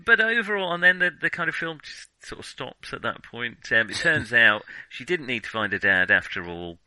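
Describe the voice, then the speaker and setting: A man's voice: medium pitch, very animated, normal speed, sharp tone, dry recording, low-quality recording. A man co-hosting a film podcast, chatting casually through a review in a hesitant, chuckling way as he thinks aloud.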